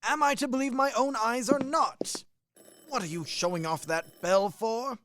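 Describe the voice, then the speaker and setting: A man's voice: very high voice, normal speed, a bit bright, no echo. A man acting a blustering, genial old army major in a radio play, with a hearty, theatrical manner. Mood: grumbling, stubborn.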